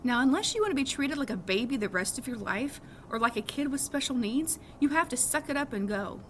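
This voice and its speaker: Storybook big sister, teens, played by a woman reader: high, emphatic, earnest and exasperated, over audible noise. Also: lively intonation, a little fast, a bit bright. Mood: earnest, pleading. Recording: clearly audible background noise.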